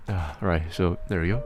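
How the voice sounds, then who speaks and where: Giggly young man, low voice. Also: creaky. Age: young adult. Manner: rambling and giggly, with frequent laughs and restarts. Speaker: host giving advice on submitted struggles. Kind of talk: casual livestream advice chat.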